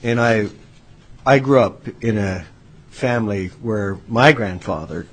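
A man's voice: on the low side, unhurried, husky, rough recording. A legislator at a hearing, a man who questions a witness with frequent restarts and repeated words. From United States. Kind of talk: formal hearing testimony.

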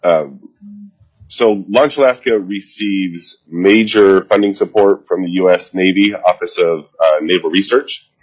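A man with a head cold, giving a formal presentation over a video call, halting with frequent ums and restarts. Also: deep voice, husky, dull audio. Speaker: nonprofit CEO presenting to a committee.

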